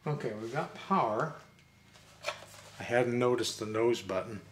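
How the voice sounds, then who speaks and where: An older man casually thinking aloud as he demonstrates something hands-on, musing and low-key, picked up by a camera mic indoors. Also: animated, unhurried. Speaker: vintage robot channel host. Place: indoor room with glass display table. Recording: echoey room, clear noise, handling clicks.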